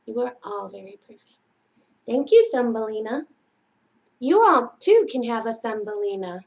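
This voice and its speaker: A woman's voice: on the high side, big pitch swings, moderate pace, vocal fry. A woman hosting a story time for young children, speaking in a sing-song way directly to them as a storyteller. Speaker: children's library storyteller and host.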